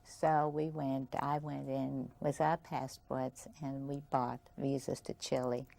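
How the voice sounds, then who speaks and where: An older woman speaks with a Viennese German accent, her voice very low and gravelly. The tone is dark and mellow, and she talks softly and reflectively, with small laughs between thoughts. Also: level tone, slow pace. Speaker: interviewee. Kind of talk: oral history interview.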